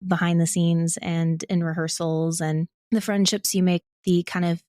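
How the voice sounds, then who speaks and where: Low-pitched American woman, dry sound. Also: level tone, moderate pace, mellow, no echo. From Ohio, United States. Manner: candid, self-reflective, laughs while talking. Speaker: interview guest, audio drama creator. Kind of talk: casual conversational interview.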